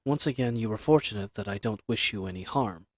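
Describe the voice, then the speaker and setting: A man playing a formal, reserved assassin who is earnestly flirtatious, in a romantic audio roleplay with measured, polite speech. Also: lowish voice, lightly acted. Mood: calm, parting. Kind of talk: scripted romantic audio roleplay addressed to the listener.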